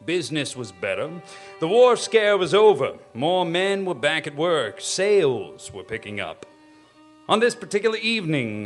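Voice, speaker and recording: A man playing a grave, ominous storyteller, giving solemn scripted narration in a radio play. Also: on the high side. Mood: solemn, nostalgic. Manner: solemn, measured phrasing. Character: grave, ominous male narrator of an alien invasion tale.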